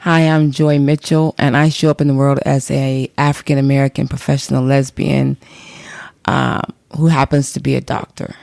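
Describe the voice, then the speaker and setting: Woman guest, gravelly fry, flat delivery, matter-of-fact and brief. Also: rumbling low, moderate pace, dry recording, clean studio sound. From Detroit, Michigan, US. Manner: matter-of-fact, clipped sentences. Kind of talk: conversational interview. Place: recording session in Atlanta.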